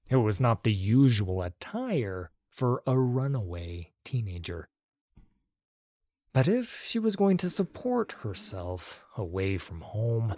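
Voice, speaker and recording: A man narrating an audiobook story in a measured, expressive way. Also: mid-range voice, no echo.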